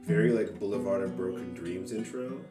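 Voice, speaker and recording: A man's voice: sits low, moderate pace. A man talks casually and off the cuff as a songwriter, rambling hesitantly and self-deprecatingly. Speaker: amateur singer-songwriter. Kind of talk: casual unscripted music vlog. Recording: roomy, distant mic, faint guitar.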